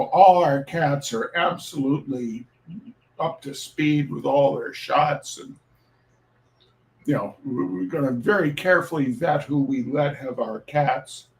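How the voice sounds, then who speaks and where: A man hosting a reading night, telling personal stories informally in a wry, deliberate way with pauses and repeated phrases. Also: on the high side, low-quality recording. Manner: deliberate, halting, with dramatic pauses and repetition. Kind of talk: informal spoken introduction with personal storytelling.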